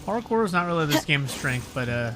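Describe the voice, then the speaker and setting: A man's voice: on the high side, big pitch swings, brisk. Wry young man muttering over gameplay. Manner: muttering to himself, wry and puzzled. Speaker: streamer. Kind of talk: casual gameplay commentary. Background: game music.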